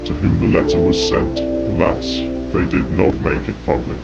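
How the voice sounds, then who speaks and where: A man reading scripted horror narration in a grave, measured way, with a gravelly, whispery voice. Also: over loud music. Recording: dull, noisy lo-fi audio with rumble.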